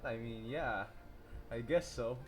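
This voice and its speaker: A person reading video game dialogue replies in a brief, measured manner. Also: medium pitch, music in the background.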